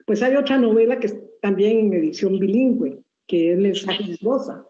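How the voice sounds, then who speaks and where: A woman interviewing an author at a book presentation, asking measured, thoughtful questions. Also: mid-range voice, dark tone, reverberant, dull audio.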